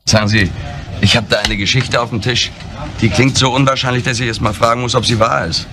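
A man's voice: medium pitch, steady tone, fairly quick, noisy background, close to an everyday voice. An actor playing a male newspaper reporter who asks probing, polite questions over the phone. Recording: distant voice with loud music.

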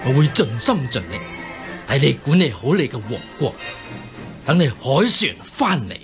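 An actor with a Hong Kong Cantonese accent playing an old usurper king in a radio drama, sighing and halting as he feigns weary remorse. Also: medium pitch, sing-song, faint music behind. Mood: ingratiating.

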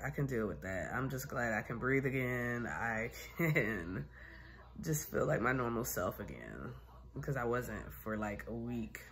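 Chatty woman vlogging from her armchair. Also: a bass voice. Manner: candid, animated storytelling with laughs. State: recovering from a sinus infection, tired. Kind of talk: casual chatty vlog. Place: small room at home, seated in armchair. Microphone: phone camera at arm's length.

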